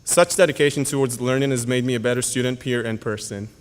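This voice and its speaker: A young man, a graduating senior whose first language is Yoruba, reading a formal, earnest speech at a podium with halting pauses and fillers. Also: medium pitch, steady tone. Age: young adult. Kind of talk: prepared speech read at a podium. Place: school auditorium. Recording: hall reverb.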